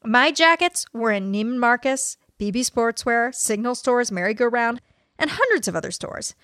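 A woman's voice: on the high side, animated, moderate pace, fairly bright tone, no echo, clean studio sound. A woman performing a dramatic reading as an aggrieved evangelist preacher, defiant and conspiratorial, with emphatic declarations. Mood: boastful, aggrieved. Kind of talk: dramatic reading of a religious tract.

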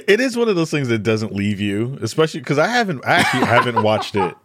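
A man's voice: mid-range voice, big pitch swings, fairly quick, heavy background noise. A man from the Bronx, a podcast guest who chats casually and comedically, exaggerating and readily laughing as he talks. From the Bronx, New York.

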